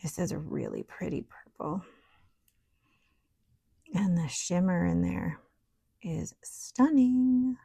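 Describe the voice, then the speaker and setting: A woman with a low, gravelly and scratchy voice talks through her desk work in a relaxed, chatty way, thinking aloud as she goes. The sound is dry and close, with no room around it. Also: slow pace, long pauses, no room sound. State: scratchy, hoarse voice. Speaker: stationery vlogger. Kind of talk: casual show-and-tell while writing and painting.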